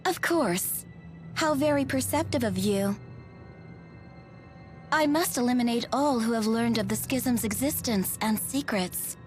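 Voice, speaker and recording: Condescending, high-voiced cruel villainess played by an actress. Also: female voice, a little mellow, soft background music, close to an everyday voice. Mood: coldly imperious. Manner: condescending, formal, drawn-out phrasing. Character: cruel, mocking female enforcer, coldly polite. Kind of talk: video game voice acting.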